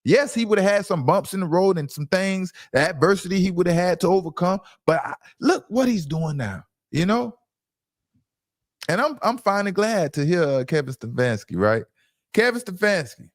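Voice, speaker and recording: Male sports commentator, emphatic and opinionated, lively intonation, very dry recording. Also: high-pitched, normal speed, dry recording. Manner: emphatic, insistent, repeats phrases for stress. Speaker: sports commentator and show host. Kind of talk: opinionated sports reaction talk.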